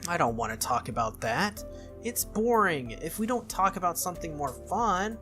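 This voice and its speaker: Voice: mid-range voice, sing-song, moderate pace, sharp tone, soft background music. A performer voicing a cheerful, childish young noble girl from a visual novel, eager, innocent and a little petulant. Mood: bored.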